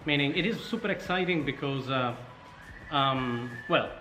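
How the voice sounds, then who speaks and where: An Italian-accented man speaks casually as an interview guest, telling his story at a middle pitch. The room is clearly echoey, with faint noise in the background. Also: moderate pace, pushed and bright. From Sardinia, Italy. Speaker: startup co-founder, interview guest.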